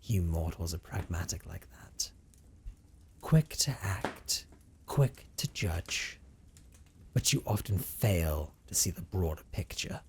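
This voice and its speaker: A man voicing a centuries-old vampire captor in an intimate audio roleplay, speaking with hushed, theatrical condescension and often dropping toward a whisper. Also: deep voice, lively intonation, takes it slowly, slight background noise, heavily acted. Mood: measured, condescending. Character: centuries-old male vampire captor, teasing, dominant, wistful.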